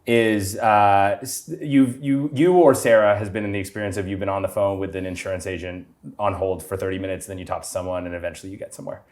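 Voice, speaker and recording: A man who is a podcast guest, eagerly explaining his business in quick bursts. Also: deep voice, fairly even intonation, a little mellow. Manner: quick, eager explanations with many short agreements. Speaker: podcast guest, company founder.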